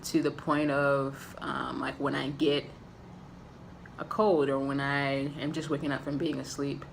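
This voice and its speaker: A man giving a candid, casual personal update to camera, with a raspy voice and frequent filler ums. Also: mid-range voice, level tone, measured pace, slight background noise. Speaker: vlogger.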